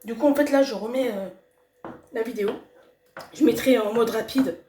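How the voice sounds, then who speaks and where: A woman's voice: a little high, normal speed, dark tone, slight background noise. A young woman with a slight cold talking casually through a home cooking video, hesitant and full of fillers and restarts. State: has a cold. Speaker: cooking vlogger. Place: home kitchen. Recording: echoey kitchen, voice from far off. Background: sizzling pan and stirring.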